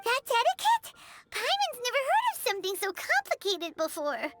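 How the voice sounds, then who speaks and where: A woman voicing a tiny, childlike fairy companion in a video game, squeaky, chirpy and blunt. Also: wildly swooping pitch, moderate pace, loud music behind the voice, exaggerated voice. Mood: incredulous. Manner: squeaky, childlike, refers to self in third person. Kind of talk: scripted video game character acting.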